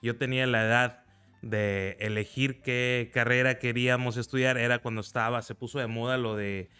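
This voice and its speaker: Guy, early 40s, smooth clear voice, faint music behind. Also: medium pitch, fairly even intonation, normal speed, sharp tone. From Mexico. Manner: earnest, recounts with pride. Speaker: guest, federal deputy. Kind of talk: conversational interview.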